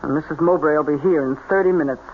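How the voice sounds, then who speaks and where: A woman's voice: sits low, close to an everyday voice. An actress playing a scheming, bossy female spirit medium in an old-time radio drama, with a commanding manner. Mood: scheming, brisk. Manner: commanding, slow hypnotic orders to her subject. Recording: noisy, tinny old radio broadcast.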